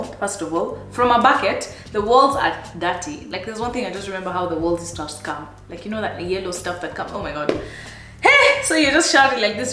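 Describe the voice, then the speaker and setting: Chatty Kenyan woman in her thirties. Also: roomy sound. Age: 30s. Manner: chatty and animated, laughs often, high exclamations. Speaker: vlogger. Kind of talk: casual life-update chat.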